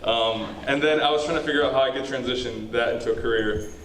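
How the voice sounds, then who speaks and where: A man's voice: mid-range voice, fast. A man chatting casually on a panel as a stage performer. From born in Ukraine, grew up in the United States. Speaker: acrobat in a stage show. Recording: echoey room, distant mic, loud noise.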